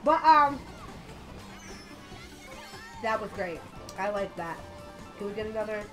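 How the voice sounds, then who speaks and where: A young woman with a slightly raspy voice from being sick, chatting casually and swearing as she plays a racing game on a headset mic. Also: mid-range voice, animated, slow, music in the background. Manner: chatty, swears often, loud outbursts at the game. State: a little sick. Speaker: gaming channel host. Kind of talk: casual let's-play commentary.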